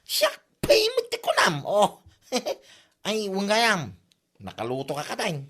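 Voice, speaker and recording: A woman voicing a boastful village husband in an Ilocano comedy drama, with an animated, exclaiming delivery. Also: mid-range voice, slow pace, heavily acted. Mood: pleased.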